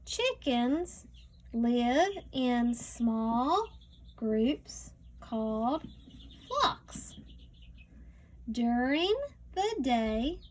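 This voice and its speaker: A woman teacher reading a picture book aloud to young students, slowly and deliberately, stretching words and adding teaching asides. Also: a little high.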